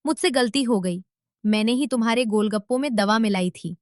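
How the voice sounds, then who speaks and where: Jealous rival street-food man for a cartoon tale, read by a woman, higher pitch. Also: fairly quick, heavy background noise, natural voice. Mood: remorseful. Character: jealous rival male snack seller. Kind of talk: cartoon story narration.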